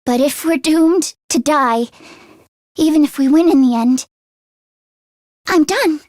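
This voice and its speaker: Casting: teenage girl fighter for a video game, very high voice, anxious and earnest, expressive with hesitant stammers, dry studio sound. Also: slow pace, dry recording. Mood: anxious, worried. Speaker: voice actress.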